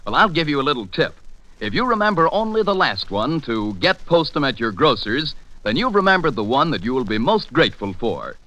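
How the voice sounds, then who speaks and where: Animated American radio announcer, a man. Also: mid-range voice, a little fast, low-quality recording. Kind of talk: scripted 1940s radio announcing and sponsor pitch. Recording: 1940s radio broadcast.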